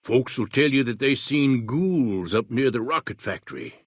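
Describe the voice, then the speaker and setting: A man's voice: mid-range voice, expressive, unhurried, breathy edge, no echo, studio quality. A man voicing a paranoid, rambling older wasteland eccentric in a video game, with a folksy backwoods drawl and a suspicious, conspiratorial manner. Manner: suspicious, conspiratorial, jumps between whispers and outbursts.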